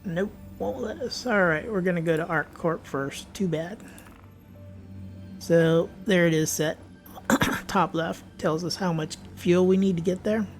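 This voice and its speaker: A hoarse, raspy man giving chatty, jokey commentary as he plays a space game. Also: sits high, measured pace. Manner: chatty, jokey tour-guide narration. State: hoarse, clearing his throat. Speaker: game streamer. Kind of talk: casual gameplay commentary. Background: game music and engine sounds.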